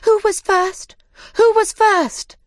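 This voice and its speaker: A woman voicing excitable, clamouring small animals and birds in a children's storybook, with shrill, insistent cries. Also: slow, no echo, studio quality. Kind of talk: children's storybook character reading.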